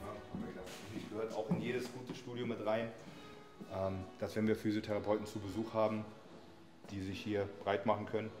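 A man's voice: fairly low pitch, level tone, fairly quick. A man, chatting casually and colloquially as he gives a gym tour. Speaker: gym owner giving a tour. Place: indoor gym rooms. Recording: echoey hall-like rooms, music underneath. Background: music.

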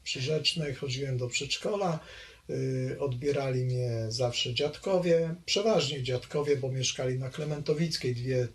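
An older man from Poland recalling his childhood in an oral history interview, hesitant and halting, with many fillers. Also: medium pitch.

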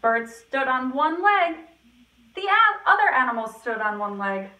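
A woman's voice: sits high, big pitch swings, measured pace, sharp tone, recorded from a distance. A woman in her twenties reading a picture book aloud like a nursery teacher, in a roomy indoor recording. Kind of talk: children's storybook read-aloud. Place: small classroom-style room indoors. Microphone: camera a few feet away.

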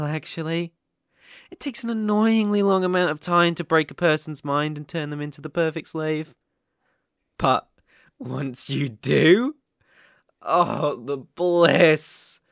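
A man playing a sadistic, obsessive young kidnapper in an ASMR roleplay, gloating with menacing, mocking, drawn-out phrasing. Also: a little high, dry recording, clean studio sound. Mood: condescending.

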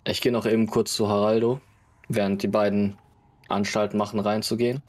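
A man with a fairly low voice takes part in a tabletop game. He narrates his character in measured phrases, pausing often to think. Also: flat delivery, darkish tone. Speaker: player in tabletop role-play.

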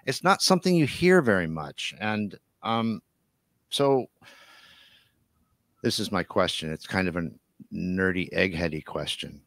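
A middle-aged man hosts a casual interview podcast. His voice sits fairly low, and the close microphone gives a dry sound with little room in it. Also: animated, a little slow, long pauses, fairly bright tone, dry recording. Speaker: podcast host. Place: home room, video call.

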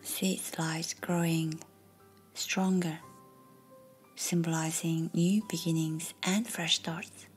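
Slow whispering meditation guide woman. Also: sits low, fairly even intonation. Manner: slow soft whispering delivery. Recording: faint music behind.

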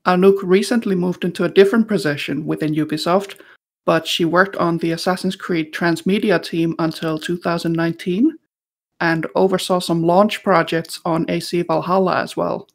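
Creaky-voiced woman hosting a podcast. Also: lowish voice, steady tone, normal speed. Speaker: podcast host. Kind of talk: friendly scripted-sounding interview hosting.

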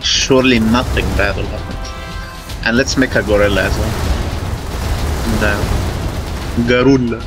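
A man's voice: fairly low pitch, fairly even intonation, moderate pace, long pauses. Joking gamer man over game music. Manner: joking, swearing, playful broken phrasing. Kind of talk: casual voice chat while gaming. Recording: game music behind voice chat.

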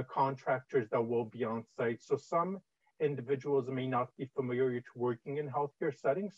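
A man's voice: mid-range voice, no room sound. A man in his thirties with a Canadian accent, presenting a training webinar over slides on a video call, with frequent "uh" fillers in a conversational way. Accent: Canadian English. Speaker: webinar presenter on infection prevention. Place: indoor room, on video call. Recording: dull, dry video-call audio.

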